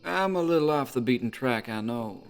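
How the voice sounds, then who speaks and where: Man acting a brisk, curious traveling salesman. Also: mid-range voice, expressive, smooth, natural voice. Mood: polite. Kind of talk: audio drama dialogue.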